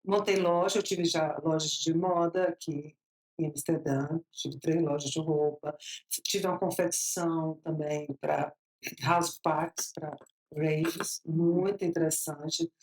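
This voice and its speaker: Chatty Bahian woman, lowish voice. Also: steady tone, a little mellow, rough recording. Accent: Bahian Brazilian Portuguese. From Bahia, Brazil. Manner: chatty, laughs often, drawn-out slow phrases. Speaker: interview guest. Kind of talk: casual storytelling interview.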